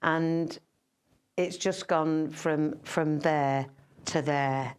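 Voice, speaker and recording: A woman's voice: low-pitched, steady tone, extremely slow, clean tone. A middle-aged woman entertainer chatting casually in an interview, rambling with many fillers and restarts. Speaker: entertainer and singer.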